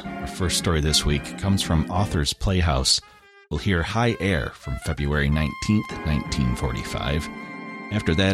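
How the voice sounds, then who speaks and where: Really deep guy voice doing a scripted podcast intro, music behind. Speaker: podcast host.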